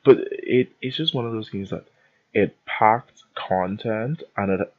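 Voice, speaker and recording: A man in his twenties from the Bahamas, hosting a podcast and rambling in an animated, casual way with many tangents. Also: on the low side, measured pace. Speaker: podcast host. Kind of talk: casual solo podcast rant. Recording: dull lo-fi sound.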